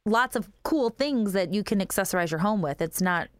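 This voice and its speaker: A woman's voice: medium pitch, lively intonation, normal speed, no room sound, clean studio sound. A woman hosting a radio show, upbeat and enthusiastic as she interviews a guest.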